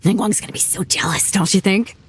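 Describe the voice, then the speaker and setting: A woman's voice: medium pitch, a little fast, soft background music, natural voice. A woman voicing a bold, generous female ship captain who talks casually and laughs easily, in a game cutscene.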